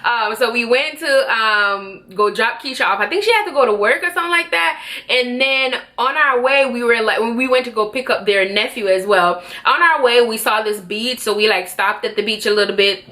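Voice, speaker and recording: Young Jamaican woman vlogger, fairly high, bright tone, loose and chatty. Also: normal speed. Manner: chatty, rambling, self-correcting. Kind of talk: casual travel vlog chat.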